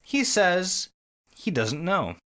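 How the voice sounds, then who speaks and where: A man's voice: high-pitched, big pitch swings, takes it slowly, sharp tone. A man co-hosting a podcast with casual banter and recaps, joking and swearing freely. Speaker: podcast co-host.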